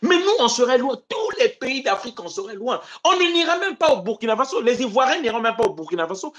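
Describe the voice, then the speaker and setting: A man from Congo-Brazzaville hosts a live stream and talks politics to his viewers. His delivery is impassioned and rhetorical, with a very lively pitch that swings from loud declaiming to low muttered asides. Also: fast talker, muffled recording. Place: home in Europe.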